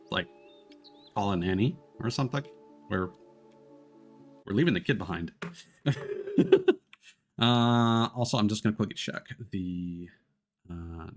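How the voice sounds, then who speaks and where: Low-voiced, slow-paced man streaming a game. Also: animated. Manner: wry, joking. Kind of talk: casual game commentary. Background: game music.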